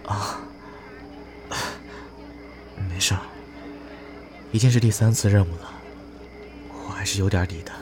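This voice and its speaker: A man acts a quiet young survivor in a horror radio drama. His low voice is soft, hesitant and guarded, with music loud behind him. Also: measured pace, long pauses, natural voice. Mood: subdued, worried. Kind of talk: audio drama acting.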